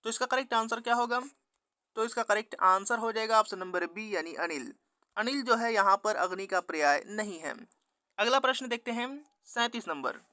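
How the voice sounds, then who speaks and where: A man's voice: high-pitched, moderate pace, sharp tone, dry recording, clean studio sound. A man working as an exam-prep teacher, reading out quiz questions and answers in a methodical, formulaic instructional way. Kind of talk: instructional mock-test narration.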